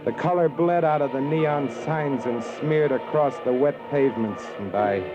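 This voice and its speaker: A man's voice: medium pitch, moderate pace, natural voice. A man playing a cynical, world-weary newspaper columnist, narrating with wry, brooding literary delivery in 1940s radio noir. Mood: brooding, sardonic. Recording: muffled 1940s radio broadcast, music underneath.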